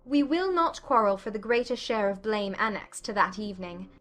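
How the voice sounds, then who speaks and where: A woman playing a frank young gentlewoman in love, with polite formal phrasing, in a dramatized classic romance audiobook. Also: mid-range voice, expressive, normal speed, faint music behind, close to an everyday voice. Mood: frank, conciliatory.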